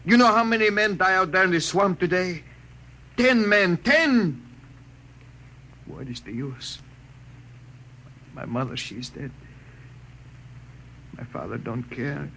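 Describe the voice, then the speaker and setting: An actor playing a young, homesick Mexican labourer in halting, accented English, in 1950s radio drama style. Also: male voice, sits high, big pitch swings, sharp tone, full character voice. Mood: bitter, then despairing. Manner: halting, simple broken English. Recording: noisy vintage 1950 radio recording.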